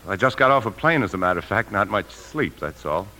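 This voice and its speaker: Deep-voiced, guilt-ridden American husband. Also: male voice, lively intonation, a little fast, bright, forceful tone, rough recording. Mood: strained, casual. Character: guilt-ridden, weary husband and bank employee turned thief. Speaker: lead actor and narrator. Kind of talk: 1950s radio drama acting, first-person narration. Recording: 1950s radio broadcast with music.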